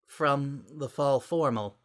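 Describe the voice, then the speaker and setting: A man's voice: mid-range voice, slow pace, voice pushed up, close to an everyday voice. A man voicing a guarded but good-natured teenage girl who talks casually, in a dramatic reading. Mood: uneasy.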